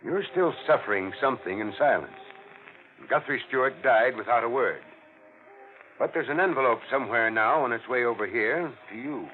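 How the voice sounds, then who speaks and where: A man's voice: medium pitch, expressive, moderate pace, lightly acted. A man playing a dogged, sardonic police captain with a needling, colloquial manner in an old radio thriller. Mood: smug, insistent. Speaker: actor. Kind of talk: 1940s radio thriller acting. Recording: tinny vintage radio, faint music.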